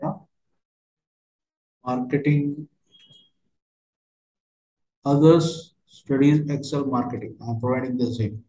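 Male software trainer, mid-pitched, halting step-by-step screen narration, roomy echo. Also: steady tone. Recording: roomy, echoey voice recording.

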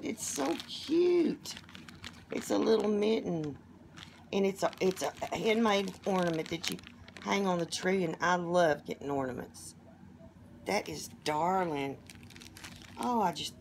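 Slow, hoarse woman gushing over gifts. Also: fairly low pitch, slight background noise. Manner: gushing, softly delighted exclamations. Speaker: vlogger opening gifts. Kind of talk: casual unboxing chat. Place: living room at home.

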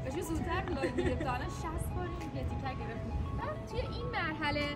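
Young woman, high voice, chatty and excited, phone held close, factory hall, loud music behind. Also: a loud crowd. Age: young adult. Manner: chatty and excited, laughing often. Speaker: vlogger. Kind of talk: casual vlog report. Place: large factory hall, indoors. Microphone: handheld phone camera close up.

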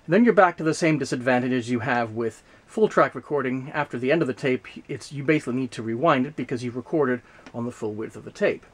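A man giving an unscripted explanatory demonstration, speaking haltingly with frequent hesitations and self-corrections. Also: mid-range voice, husky. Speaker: presenter demonstrating vintage audio gear.

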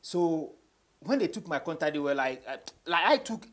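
A man's voice: fairly high pitch, expressive, moderate pace, fairly bright tone. A man in his thirties with a Ghanaian accent, telling stories casually as an interview guest, switching between English and Twi. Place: small indoor studio.

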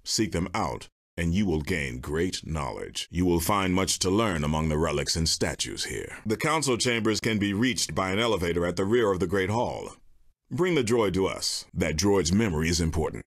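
An actor playing a stern, solemn Jedi master in a video game, speaking in a measured, formal and commanding way with a husky voice. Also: male voice, low-pitched, animated. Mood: calm, instructive. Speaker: video game mission giver. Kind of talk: scripted game character dialogue.

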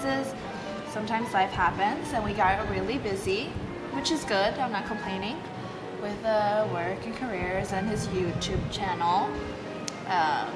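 A young woman from southern Sweden, a native Swedish speaker, chatting playfully on a city vlog with animated gestures, recorded on a camera mic. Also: medium pitch. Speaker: model and vlog guest. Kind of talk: casual vlog chat. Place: cafe, shops and street in a city.

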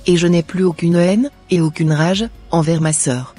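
Man voicing an earnest, husky young cartoon woman. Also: fairly high pitch, normal speed, dark tone. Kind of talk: scripted cartoon dialogue. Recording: music under the voice.

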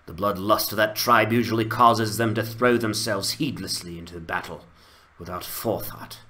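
A man voicing a grave, courteous ranger leader with a formal, measured delivery in a fantasy game dramatization. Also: fairly low pitch, bright, forceful tone. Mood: grave, urgent.

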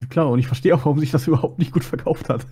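A man's voice: on the high side, very fast, mellow, slightly rough. A man chatting casually as co-player on a game stream, musing aloud about what is happening. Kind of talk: casual playthrough commentary.

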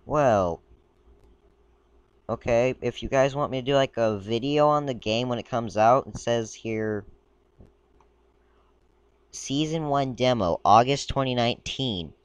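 A man casually commenting while browsing a game page, talking in a rambling, halting way and stumbling as he reads aloud, a little sick. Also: mid-range voice, clear, resonant tone, dry recording, highs cut off. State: a bit sick. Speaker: gaming video creator. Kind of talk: casual screen-recording commentary.

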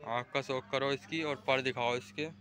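A man's voice: mid-range voice, steady tone, moderate pace, crowd noise. A young man vlogging casually from a rooftop, showing off pigeons and directing his friends as he goes. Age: young adult. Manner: chatty, directing friends, calling out to viewers. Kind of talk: casual vlog narration. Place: outdoors on a rooftop. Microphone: phone camera held in hand.

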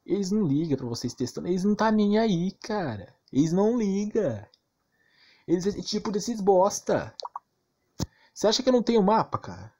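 A man's voice: high voice, very animated, moderate pace, darkish tone, slightly rough. Brazilian man ranting about a game. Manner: argumentative, insistent, repetitive, slangy. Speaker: gaming YouTuber. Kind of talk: informal game rant commentary.

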